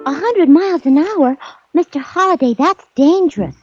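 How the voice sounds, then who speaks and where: A naive young secretary from vintage radio drama, with a high, questioning voice. She sounds wide-eyed and worried on a dull old recording. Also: animated, moderate pace, loud music behind the voice. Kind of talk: 1940s radio drama acting.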